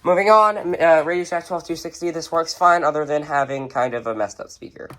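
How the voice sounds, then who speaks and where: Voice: medium pitch, fairly quick, fairly bright tone. A collector of unclear sex talks through a home collection in a mid-range voice. The delivery is casual and unscripted, with blunt, offhand little verdicts on each item. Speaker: radio collector presenting a collection. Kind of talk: casual unscripted show-and-tell. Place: indoors at home, among shelves and tables of radios.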